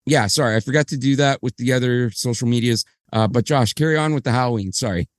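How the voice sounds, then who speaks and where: A slightly hoarse man chatting on a podcast, his voice still smooth and resonant under the roughness. He rambles, apologizes and backtracks, recorded close on a dry mic with no room sound. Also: mid-range voice, fast talker, no room sound. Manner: rambling, apologetic, self-correcting. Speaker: podcast co-host. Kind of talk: casual podcast chat.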